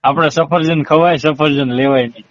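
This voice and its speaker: A man's voice: fairly high pitch, fairly even intonation, moderate pace, dry recording. A man with a Saurashtra Gujarati accent chatting casually on a phone call in an earthy, droll, matter-of-fact way. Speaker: call recipient. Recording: noisy, dull lo-fi phone call recording.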